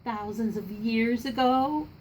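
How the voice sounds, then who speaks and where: A woman's voice: on the high side, slow pace, darkish tone, a little hiss or hum, voice pushed up, low-quality recording. A woman voicing a young girl who teases and taunts her brother in a storybook. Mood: teasing, scornful.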